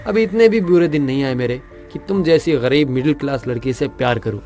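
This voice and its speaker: A man's voice: medium pitch, brisk, darkish tone, faint music behind, natural voice. A man playing an arrogant, cold young rich boss in an audio romance drama, haughty, mocking and contemptuous. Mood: scornful, mocking.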